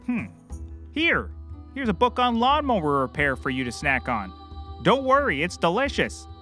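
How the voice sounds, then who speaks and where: A man's voice: high-pitched, sing-song, normal speed, bright, forceful tone, faint music behind, exaggerated voice. An actor playing a bumbling, eager and clueless male private eye in a scripted comedy, chatty and naively confident. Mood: busy planning. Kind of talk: scripted comedy audio drama.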